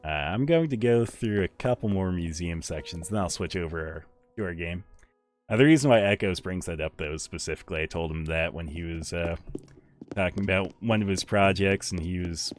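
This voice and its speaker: A young man with a deep voice chatting casually with viewers while he plays a game on a live stream, rambling in a relaxed way. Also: normal speed. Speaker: livestreamer. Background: faint game music.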